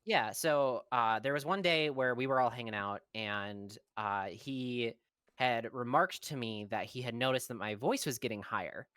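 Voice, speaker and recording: A young adult guest in a casual podcast chat, talking in a relaxed, rambling way with lots of fillers. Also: medium pitch, moderate pace, clean tone, dry recording, clean studio sound.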